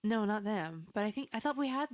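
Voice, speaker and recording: A woman's voice: mid-range voice, expressive, brisk. A woman co-hosting a casual podcast chat, teasing and matter-of-fact. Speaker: podcast co-host.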